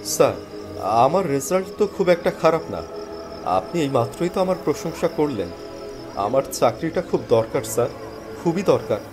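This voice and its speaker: A man playing an earnest, dejected young job seeker, telling his own story dramatically in an animated thriller. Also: fairly high pitch, normal speed, pauses often, music in the background. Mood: humble, pleading. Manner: expressive, earnest. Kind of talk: dramatic first-person scripted story narration with dialogue.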